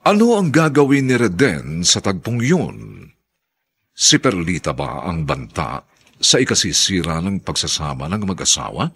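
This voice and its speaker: A man's voice: deep voice, sing-song, normal speed, a bit bright, slightly rough. A man with a Filipino accent delivering dramatic scripted narration as a radio drama announcer.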